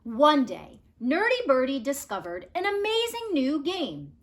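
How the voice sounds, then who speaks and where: A woman narrating a children's storybook aloud in an expressive, measured way with dramatic emphasis. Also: high voice. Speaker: storybook reader.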